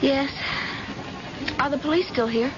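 An actress playing an anxious, tender grown daughter, earnest and pleading, in an old-time radio drama. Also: female voice, high voice, unhurried. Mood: shaken, subdued. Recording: dull, noisy old radio recording.

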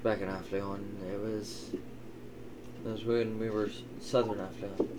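A man voicing a gruff, doting old elven father who swears and teases in an improvised tabletop roleplay. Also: fairly low pitch, level tone, a little slow, lightly acted. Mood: grave storytelling. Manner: wry, teasing, swearing. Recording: clearly noisy, ambient sound behind.

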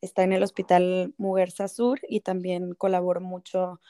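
Mexican woman psychologist, mellow dark voice, hesitant, talks softly. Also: fairly low pitch, level tone, normal speed, clear, resonant tone. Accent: Mexican Spanish. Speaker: clinical psychologist, guest. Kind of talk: casual podcast interview.

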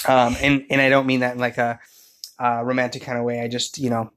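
A man's voice: mid-range voice, a little fast. Young male co-host, chatty and informal, a playful rambling storyteller. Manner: jokey, rambling, animated retelling with quoted asides. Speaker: co-host telling the couple's story.

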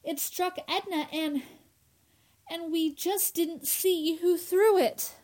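A woman's voice: very high voice, steady tone, slow pace, darkish tone. A woman playing an anxious, insistent young working woman in a radio horror drama, with an earnest, dramatic delivery. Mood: worried, pleading.

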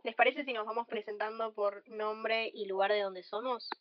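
A woman's voice: fairly high pitch, steady tone, normal speed, highs cut off, slight background noise. A teenage young woman with an Argentine accent, talking conversationally as a co-host on an opinion podcast. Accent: Argentine Spanish. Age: teens, secondary school student.

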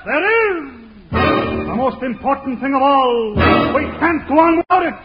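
High-voiced pompous opera surgeon, old radio. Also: male voice, moderate pace. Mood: grandly dramatic. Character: pompous opera surgeon, theatrical mock-grave man. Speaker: comedy show star. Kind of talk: 1940s radio comedy opera parody. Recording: muffled 1940s radio, music, audience.

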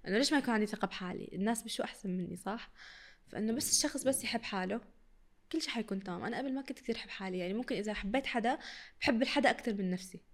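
A young woman from Iraq with a mixed Syrian and Iraqi Arabic accent, chatting as a podcast guest and laughing often. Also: a little high. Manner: laughs often, mixes dialects. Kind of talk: casual interview chat.

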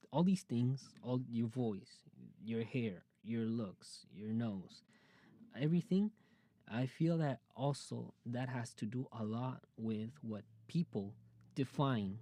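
A man's voice: medium pitch, mellow. A man in his late twenties hosting his own podcast, talking casually and openly about his life, rambling with many fillers and restarts. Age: 20s. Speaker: podcast host. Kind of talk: casual personal monologue.

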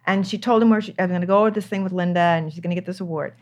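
A woman's voice: lowish voice, very fast, a little mellow. An older woman, a screenwriter, talking candidly in an interview, stressing her points by repeating words. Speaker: screenwriter guest.

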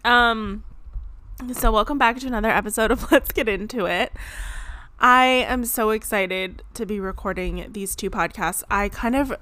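A woman's voice: medium pitch, moderate pace, sharp tone, no room sound. Woman, podcast host, casual rambling chat, a bit strained, dry close recording. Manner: rambling, chatty, frequent "like" and laughs. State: slightly strained voice. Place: home, seated.

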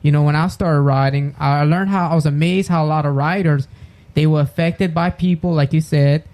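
A man's voice: fairly high pitch, steady tone, moderate pace, a little mellow. A man in casual conversation about writing, speaking earnestly and loosely with many fillers. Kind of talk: casual chat.

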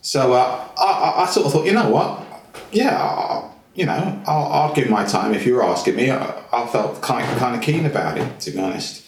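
A man's voice: mid-range voice, expressive, moderate pace, slight background noise. Chatty fifties Englishman in a roomy space. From England. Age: 50s. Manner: rambling, hesitant, many fillers and repeated phrases. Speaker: interview guest. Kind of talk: casual interview chat. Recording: roomy echo.

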